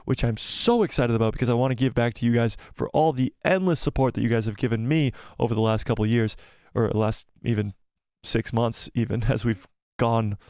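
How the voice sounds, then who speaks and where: Excitable young man chatting on a live stream. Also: medium pitch, dry recording, clean studio sound. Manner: excitable, rambling, laughs often. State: losing his voice. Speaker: YouTube channel host. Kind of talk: casual live stream chat reading comments.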